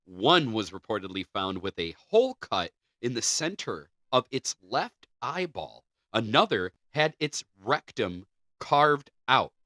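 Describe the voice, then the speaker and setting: A man's voice: medium pitch, sing-song, unhurried, bright, forceful tone, no room sound. A man co-hosting a podcast, moving between reading scripted narration and informal chat. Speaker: podcast co-host.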